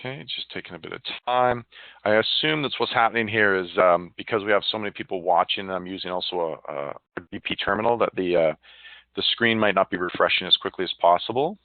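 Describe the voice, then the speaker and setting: A man's voice: deep voice, fast talker, no echo. Man on a phone-like line, informal presenter. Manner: informal, chatty, muttering to himself while working. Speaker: software demo presenter. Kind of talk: casual live software demonstration. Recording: narrow, phone-like line, dry.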